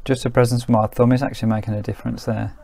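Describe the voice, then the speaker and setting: A man's voice: mid-range voice, flat delivery, rapid-fire, warm tone. A British man giving casual hands-on commentary as he reviews a vintage radio. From United Kingdom. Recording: clear background noise, clicks.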